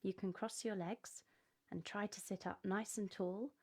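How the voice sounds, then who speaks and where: A woman with a medium-pitched voice guides children through relaxation at a slow pace. Her instructions are gentle and measured, given one step at a time like a scripted narration. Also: steady tone. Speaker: host of a children's relaxation program.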